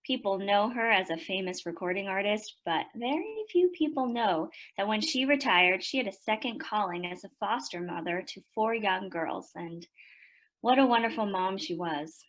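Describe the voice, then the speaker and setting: A woman's voice: mid-range voice, lively intonation, normal speed, fairly bright tone, close to an everyday voice, rough recording. A woman playing an earnest author in a radio play, recalling her foster family in reflective narration. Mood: fond, reflective.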